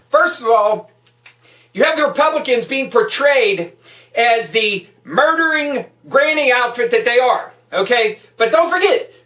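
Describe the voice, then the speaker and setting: High-pitched man host in an echoey room. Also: sharp tone. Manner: emphatic, profane. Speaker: online radio show host. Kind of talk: political rant and show announcements. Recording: roomy echo, distant from the mic.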